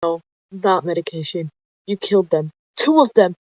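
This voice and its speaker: A narrator voicing a distraught, furious female cat in a roleplay story, shrill and emphatic. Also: normal speed, darkish tone, no echo, lightly acted. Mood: furious, accusing. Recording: dull lo-fi close dry recording.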